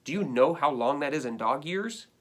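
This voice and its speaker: A man's voice: fairly high pitch, animated, moderate pace, voice pushed up. A man reading a storybook as an indignant, put-upon pet dog, complaining in a dramatic, emphatic way. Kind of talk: storybook read-aloud.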